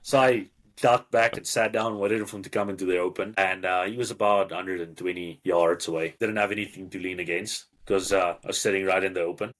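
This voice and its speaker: Man, South African accent, very deep voice, relaxed, plain storytelling. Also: fairly quick, few pauses. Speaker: guest hunter. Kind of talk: casual storytelling.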